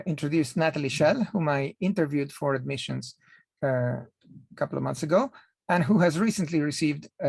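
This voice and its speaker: A middle-aged man with a gravelly, husky voice hosts an interview, polite and precise, often pausing on small fillers. The sound is dry and close, with no room around it. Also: on the high side, no echo. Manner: polite, precise, with frequent hesitations. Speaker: interviewer and host. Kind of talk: interview, scripted intro.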